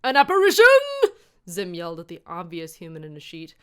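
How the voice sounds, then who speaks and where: A woman's voice: low-pitched, flat delivery, fast, clear, resonant tone. A woman reading scripted comic fan fiction aloud as its narrator.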